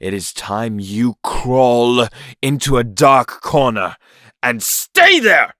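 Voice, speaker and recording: A man's voice: medium pitch, sing-song, slow pace, bright, forceful tone, dry recording, studio quality, exaggerated voice. A man playing a cold, proud, bitter prince in a theatrical, menacing audio drama style.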